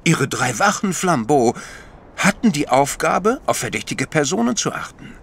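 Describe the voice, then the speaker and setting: An animated, astute priest detective. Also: male voice, on the high side, vocal fry, slightly rough, full character voice. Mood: calm, explaining. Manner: deliberate, explanatory, lecturing. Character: astute priest detective, patient, gently chiding. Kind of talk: German audio drama dialogue.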